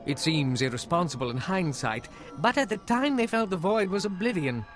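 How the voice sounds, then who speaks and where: Expressive, higher-voiced fantasy exile. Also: male voice, normal speed, soft background music. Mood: reflective, guarded. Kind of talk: scripted video game dialogue.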